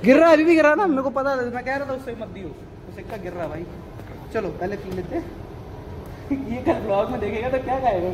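A man's voice: very high voice, expressive, fast talker, a crowd in the background, poor audio. A young man in his twenties vlogging casually in a large indoor gym, joking and teasing friends while holding a phone camera. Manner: joking, teasing, laughs often, calls out to friends. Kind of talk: casual gym vlog chat. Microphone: phone camera held at arm's length. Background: gym music.

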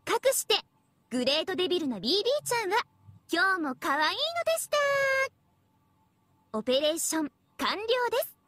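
A woman's voice: very high-pitched, very animated, a little fast, long pauses, a bit bright, no room sound, heavily acted. A woman voicing a smug, teasing, villainous young schoolgirl in a Japanese mobile game, with a cutesy, theatrical manner. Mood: smug, triumphant.

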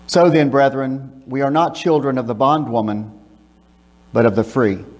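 An older man preaching from a church pulpit, reading scripture and praying in a measured, emphatic way. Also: medium pitch, fairly even intonation, darkish tone. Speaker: pastor. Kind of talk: sermon with scripture reading and prayer. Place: church sanctuary, speaking from a pulpit. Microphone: pulpit microphone, filmed by a far camera. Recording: long church reverb.

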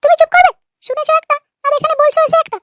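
A man voicing an insistent young wife in a comic cartoon, with a squeaky, artificially pitched-up voice. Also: racing, fairly bright tone, no room sound, studio quality. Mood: scolding. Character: childless young wife, pleading yet bossy with her husband.

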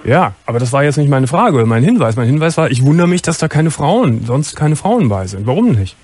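A man's voice: a little high, very animated, fairly quick, darkish tone, slight background noise. A middle-aged man hosting a late-night radio call-in show from a studio, asking probing, blunt questions with a wry edge. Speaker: radio host. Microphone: headphones, studio mic.

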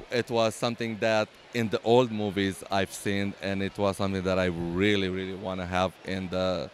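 A man with a Romanian accent speaks slowly and haltingly in a lowish voice, pausing often to find his words. He is interviewed inside a large convention hall with faint crowd noise behind him. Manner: hesitant, many fillers and restarts. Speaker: LEGO builder and exhibitor. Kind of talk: casual interview.

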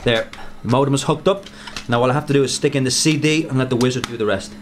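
An actor playing a nerdy, distracted and defensive young gamer in a comic radio drama. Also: male voice, mid-range voice, fast talker, noisy background, natural voice. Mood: absorbed. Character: nerdy, defensive young man obsessed with online gaming.

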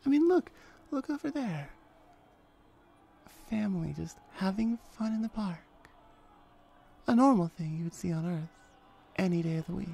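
Woman playing a husky, slow-talking flirty female hellhound. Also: on the low side, dark tone, full character voice. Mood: wry, reassuring. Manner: conversational, hesitant pauses, wry. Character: flirty, laid-back female hellhound showing a newcomer around hell. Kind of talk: ASMR audio roleplay, addressing the listener.

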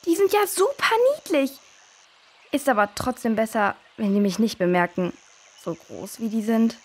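A young girl in a children's radio adventure. She is curious and easily worried, with a high voice and lively, swooping intonation as she thinks aloud. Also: moderate pace, slightly rough. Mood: curious, delighted.

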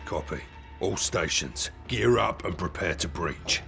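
An actor playing a grim, battle-hardened soldier in an action game, speaking tersely in a very deep, gravelly voice. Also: male voice, lively intonation, unhurried, sharp tone, faint music behind, exaggerated voice. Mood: hard, resolute. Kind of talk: cinematic action game acting.

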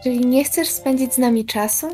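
A woman's voice: high-pitched, fairly quick, dark tone. A woman playing a patient, defensive married daughter in an amateur radio play, earnest and reproving. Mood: earnest reproof. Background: music.

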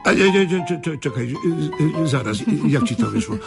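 A man's voice: on the high side, lively intonation, normal speed, gravelly. An actor playing a proud, honest middle-aged father in a radio drama, emphatic and given to stammering. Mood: flustered. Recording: music under the voice.

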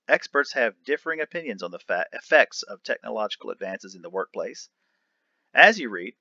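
A man reading an article aloud for a lesson in a deliberate, emphatic manner. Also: medium pitch, sharp tone, no room sound, highs cut off. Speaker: reader of an educational article. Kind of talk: read-aloud of an article transcript, announcing each speaker.

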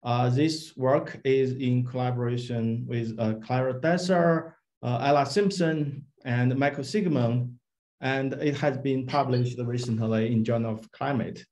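A man begins a scientific presentation on a video call. His voice sits at an average pitch, and he speaks as a researcher introducing his work. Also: fairly even intonation, measured pace, darkish tone.

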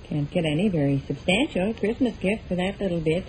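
An American actress playing a thrifty, skeptical middle-aged wife, folksy and persistent, in a 1940s radio comedy. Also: female voice, on the low side, animated, brisk, natural voice. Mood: doubting, persistent. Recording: noisy old 1940s radio recording.

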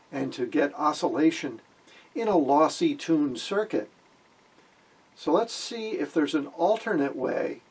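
Older guy, kinda raspy slightly high voice, folksy radio hobby tutorial w dry jokes. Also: rough recording. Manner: folksy, wry joking explanations. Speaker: amateur radio hobbyist, video host. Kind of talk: informal electronics tutorial. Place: small wood-panelled room indoors.

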